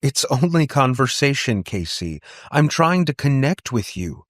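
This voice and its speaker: A man's voice: medium pitch, big pitch swings, unhurried, dry recording, voice pushed up. A man acts out an anxious, conciliatory aunt for an audiobook. She speaks tentatively, eager to connect, lifted above the narrating voice and recorded close and dry. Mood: pleading. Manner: tentative, placating. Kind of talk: audiobook character dialogue.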